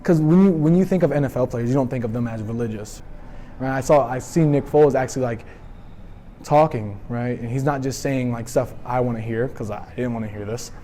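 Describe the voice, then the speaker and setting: Mellow-voiced teen guy from Philly. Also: medium pitch, faint music behind. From Philadelphia. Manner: halting, many "like" and "right?" fillers. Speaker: athlete sharing testimony. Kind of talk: casual personal testimony.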